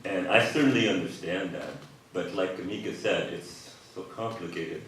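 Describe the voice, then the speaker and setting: A man's voice: deep voice, normal speed, fairly bright tone, a little hiss or hum. A man on a discussion panel, speaking in an earnest, reflective way. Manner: earnest, reflective, casual fillers. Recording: echoey hall from far off.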